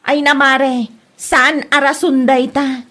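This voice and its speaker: A woman's voice: high voice, level tone, slow pace, sharp tone, exaggerated voice. A woman acting a fussy, mocking village woman in an Ilocano comedy drama, taunting others in an Ilocano accent.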